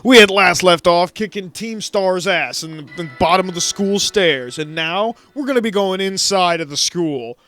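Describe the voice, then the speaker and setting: A man's voice: high-pitched, moderate pace, hardly any pauses, bright, forceful tone, faint music behind. A man hosting a let's play, talking casually with jokes, swearing and lots of fillers. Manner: joking, rambling, swears, many fillers. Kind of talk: casual gameplay commentary.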